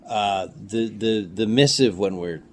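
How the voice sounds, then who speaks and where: A man telling stories casually as an interview guest, in a halting way with frequent pauses. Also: lowish voice, a little mellow. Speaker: filmmaker and audio drama creator, interview guest. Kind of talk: casual storytelling in conversation. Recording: clearly noisy room ambience.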